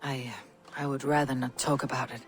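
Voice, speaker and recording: An actress playing a stern, weary young Viking warrior leader in a video game, speaking bluntly and solemnly. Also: female voice, a bass voice, level tone, normal speed, soft background music. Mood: guarded, businesslike. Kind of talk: video game cutscene acting.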